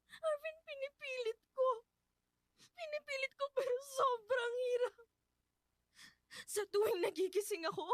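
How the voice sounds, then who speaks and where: A woman's voice: very high voice, no room sound. A woman acting a grief-stricken, guilt-ridden young widow in a radio drama, crying and pleading in a Filipino accent. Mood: tearful anguish. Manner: tearful, pleading delivery with repeated broken phrases. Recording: studio voice over prominent dramatic music.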